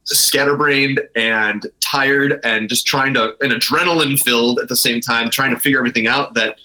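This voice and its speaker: Bright, forceful American man talking casually. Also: medium pitch, steady tone, moderate pace, low-quality recording. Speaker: interview guest, brewery owner. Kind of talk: casual, candid conversation.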